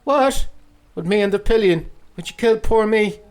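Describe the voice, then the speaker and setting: Man putting on a coy, high-pitched young woman. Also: moderate pace, darkish tone, clean tone, natural voice. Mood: teasing, superior. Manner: coquettish, mocking, mock-timid. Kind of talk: audiobook character acting.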